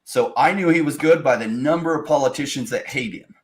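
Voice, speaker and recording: A man's voice: mid-range voice, fairly quick, noisy background. A man on a casual podcast panel who talks emphatically and lays out long, opinionated arguments.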